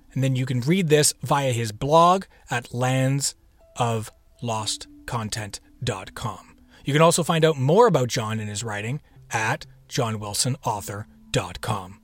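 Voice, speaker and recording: A man hosting a podcast with a playful, theatrical manner, chatting his way through a scripted introduction. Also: mid-range voice. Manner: playful, theatrical, with whispered echo-like repeats and asides. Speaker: podcast host.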